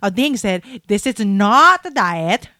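A woman with a Japanese accent, co-hosting a casual chat show, playful and quick to laugh. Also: mid-range voice, big pitch swings, bright, forceful tone, dry recording, studio quality. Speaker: podcast co-host.

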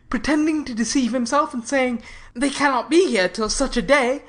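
A woman voicing an earnest, warm-hearted male sea captain in an audiobook. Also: high voice, natural voice. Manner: earnest, emphatic pleading. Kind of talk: audiobook character reading.

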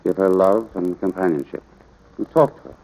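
A low-pitched, gruff American rancher dad in a vintage radio drama. He speaks firmly and plainly, like a stern father teaching his boy. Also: normal speed, dull audio, soft background music. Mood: earnest, instructive. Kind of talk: 1940s radio drama acting.

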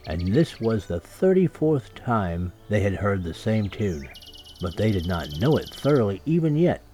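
A man's voice: lowish voice, big pitch swings, a little slow, warm tone. A man narrating a scripted children's story as a storyteller. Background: music and birdsong sound effects.

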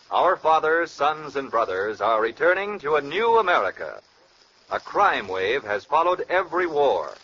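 A man's voice: on the high side, sharp tone. A man delivering a scripted, oratorical announcement in 1940s radio style. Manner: oratorical, dramatic. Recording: muffled, hissy old radio recording.

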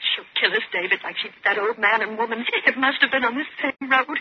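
An actress playing a frightened, suspicious young wife in a 1950s radio thriller, urgent and pleading. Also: female voice, a little high, a little fast, slightly rough. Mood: accusing, terrified. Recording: muffled, noisy 1950s radio recording.

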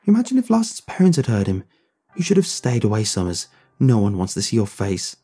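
A man voicing an angry teenage quarterback who rants loudly with cold scorn. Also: a little high, sing-song, quick pace, breathy edge, pitched above the performer's own voice. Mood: contemptuous. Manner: loud, scornful ranting.

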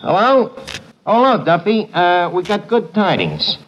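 A man playing a wisecracking, uneducated tavern manager with a slangy, deadpan, sarcastic way of talking, in 1950s radio comedy style. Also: sits high, a little slow, exaggerated voice. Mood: wry, cajoling. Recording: noisy, muffled old radio broadcast, studio audience.

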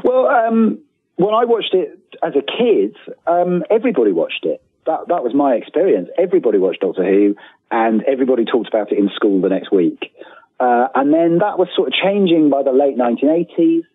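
Husky British man on a thin phone-like line. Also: medium pitch, big pitch swings, brisk, no room sound. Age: 40s. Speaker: guest author. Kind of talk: casual interview conversation. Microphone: remote call. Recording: thin phone-like call, dry.

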